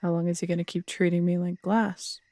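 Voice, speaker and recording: Creaky-voiced feisty woman detective, acted. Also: on the low side, level tone, a little fast, mellow, no echo, natural voice. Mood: exasperated, stubborn. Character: feisty teasing woman police detective, warm and proud. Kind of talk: roleplay audio drama, intimate casual dialogue. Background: crickets at night.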